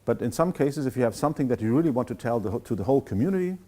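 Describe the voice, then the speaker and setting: A man's voice: medium pitch, expressive, racing, dark tone. A man, the guest speaker, discussing after his lecture and thoughtfully searching for words.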